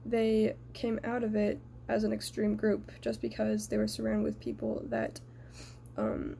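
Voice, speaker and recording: A young woman from the United States giving a prepared personal reflection in a measured, earnest way. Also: a little high, gravelly, warm tone, a little hiss or hum.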